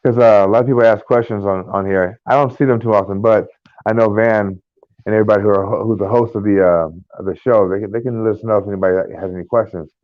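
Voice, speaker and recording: An American man co-hosting a casual podcast, talking in a rambling, halting way with many fillers and restarts. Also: fairly low pitch, fairly even intonation, no echo. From United States. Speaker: podcast co-host. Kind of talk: casual chat. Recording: tinny phone-like line.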